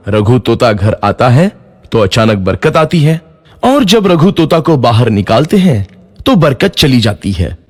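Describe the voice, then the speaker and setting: Lively male storybook narrator. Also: medium pitch, slightly rough.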